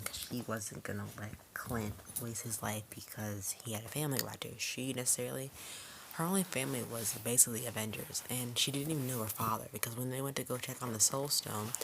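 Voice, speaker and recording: Very low-voiced woman vlogging casually. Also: creaky, noisy background. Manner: informal, digressive, conversational. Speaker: video reviewer. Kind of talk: casual, rambling movie review vlog.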